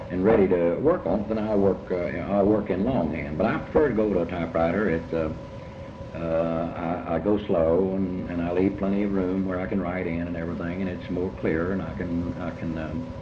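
An older man, being interviewed as a writer and speaking reflectively, with halting, repetitive, rambling phrasing. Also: low voice. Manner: halting, rambling, with many repetitions and long hesitations. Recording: muffled, echoey, noisy amateur interview tape.

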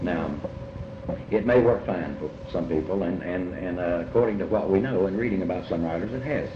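An older man talks reflectively in an interview. He hesitates often, repeats himself and wanders through his thoughts. The tape is muffled, with clear noise behind him. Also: sits low. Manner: halting, rambling, with many repetitions and long hesitations. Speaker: writer and lecturer being interviewed. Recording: muffled, echoey, noisy amateur interview tape.

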